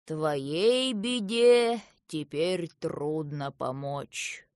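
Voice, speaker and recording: A woman voicing a solemn old male gnome with a drawling, deliberate delivery. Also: deep voice, a little mellow, no room sound, clean studio sound, voice pushed down. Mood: grave.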